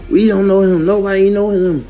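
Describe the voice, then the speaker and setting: A man's voice: high-pitched, fairly even intonation, fairly quick, dark tone, soft background music. A man ranting casually, baffled and exasperated. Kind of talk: casual rant.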